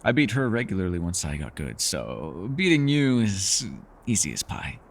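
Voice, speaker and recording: A man's voice: low voice, big pitch swings, moderate pace, vocal fry, heavily acted. A man playing a mysterious, learned elf in a fantasy ASMR roleplay, talking to the listener in a bookish, rambling and playfully formal way. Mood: teasing, relaxed. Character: mysterious, learned male elf, talkative and gently teasing.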